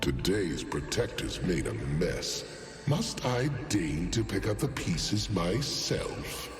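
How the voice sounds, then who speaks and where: Pompous, deep ancient dragon. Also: male voice, sing-song, exaggerated voice. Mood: condescending. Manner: pompous, haughty, theatrical, slow. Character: ancient, arrogant male celestial dragon, vain and condescending. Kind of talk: video game character voice lines. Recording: reverberant hall effect, faint music.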